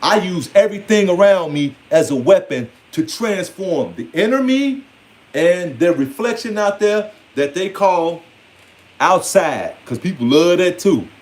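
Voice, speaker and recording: A man in his forties with an American accent and a high voice speaks informally to his followers. His delivery is streetwise and emphatic, rambling from thought to thought and often slipping into quoted asides. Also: animated, a little slow, a little mellow. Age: 40s. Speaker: online speaker. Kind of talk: informal spoken monologue to followers.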